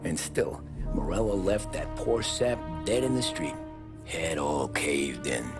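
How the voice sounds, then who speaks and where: A tough, streetwise mobster who speaks with laconic calm in a deep, low voice. Music plays under the game cutscene. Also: male voice, slow pace, exaggerated voice. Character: seasoned male mobster telling his story.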